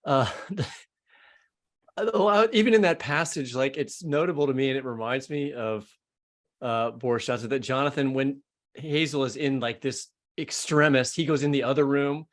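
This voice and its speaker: A man hosting a podcast, chatting casually about books in a halting way as he thinks aloud. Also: mid-range voice. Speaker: podcast host. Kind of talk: casual literary discussion.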